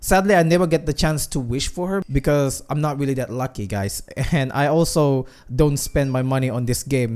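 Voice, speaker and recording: A man's voice: on the high side, a little mellow. A man giving casual gameplay commentary, chatting informally to his viewers. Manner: chatty, addresses viewers as guys. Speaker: gaming streamer. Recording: game music behind the voice.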